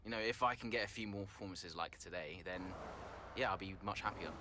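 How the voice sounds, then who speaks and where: Actor as a low-voiced, proud racing driver. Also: male voice, a little fast, lightly acted. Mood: guarded, measured. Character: proud, frustrated young male Formula One driver. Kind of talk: dramatic game cutscene dialogue. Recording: clear background vehicle noise.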